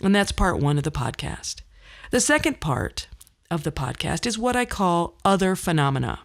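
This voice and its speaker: A middle-aged woman delivering a scripted podcast monologue as host, phrasing things in a wry, deliberate way. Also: lowish voice, sing-song, vocal fry.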